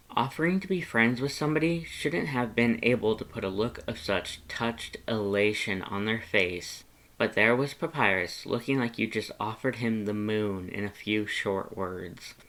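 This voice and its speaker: Fanfic audiobook narrator, middle pitch, reading a scripted second-person story. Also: a bit bright. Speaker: audiobook narrator of fan fiction.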